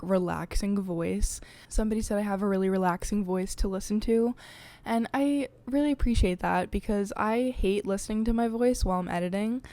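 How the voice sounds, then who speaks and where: A woman's voice: mid-range voice, darkish tone, no room sound. Young woman podcaster, chatty and confiding, lots of likes and ums, desk mic. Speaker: podcast host. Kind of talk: casual chat.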